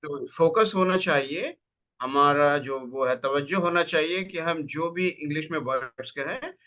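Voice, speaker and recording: A man answering webinar questions as an English language expert, explaining in a measured way and mixing in English phrases, heard over a video call. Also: fairly high pitch, pushed and bright.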